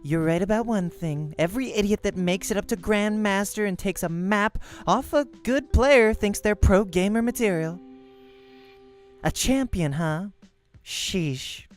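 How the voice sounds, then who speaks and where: A man's voice: high-pitched, measured pace, soft background music, voice pushed up. A man voicing a curt, dismissive, competitive woman pro gamer in a visual novel. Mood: scornful.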